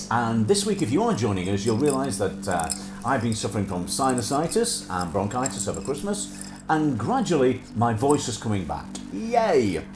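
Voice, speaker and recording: Nasal man, lively rambling host. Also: medium pitch, reverberant. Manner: rambling with frequent filler hesitations. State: nasal from sinusitis. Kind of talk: casual livestream chat.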